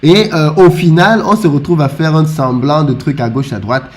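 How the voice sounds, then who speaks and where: A man from Africa talking in a casual discussion, animated and often stumbling over repeated words as he restarts. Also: on the high side, normal speed. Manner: animated, with frequent stammered restarts.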